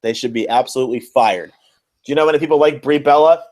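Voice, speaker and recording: A man's voice: mid-range voice, fast, a little mellow. A man co-hosting a casual wrestling podcast, talking in a blunt, exasperated way with plenty of swearing. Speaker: podcast co-host.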